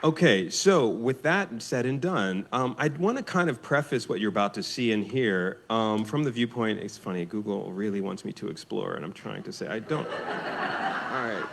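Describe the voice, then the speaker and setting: Low-voiced man on a panel. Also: moderate pace, vocal fry, heavy background noise. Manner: relaxed, joking aside. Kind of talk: live panel talk. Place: library event room.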